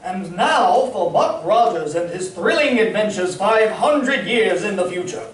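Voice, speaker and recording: An old-time radio straight man and announcer, acted out by a stage performer, deliberate and theatrical. The voice carries from some distance in an echoey room, with faint noise. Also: mid-range voice, lively intonation, lightly acted. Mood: grand announcing. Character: Abbott, exasperated straight man and show announcer.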